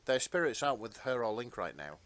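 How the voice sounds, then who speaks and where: A man narrating fan fiction as a podcast host, with expressive, sentimental storytelling. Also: mid-range voice, fairly quick.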